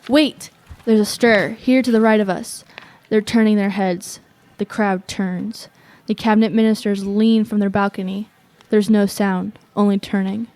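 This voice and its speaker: A woman's voice: mid-range voice, fairly even intonation, measured pace, few pauses, a little mellow, lightly acted. Watchful young woman as radio reporter. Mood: urgent. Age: young adult. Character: watchful female radio reporter at a tense gathering. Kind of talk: live eyewitness commentary in a radio play.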